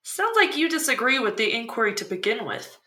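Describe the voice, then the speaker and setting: An actress playing a curious, playful show host who asks quick questions. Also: female voice, fairly high pitch, sing-song, bright, forceful tone. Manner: inquisitive, bubbly, quick questions. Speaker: podcast host. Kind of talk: scripted podcast drama dialogue.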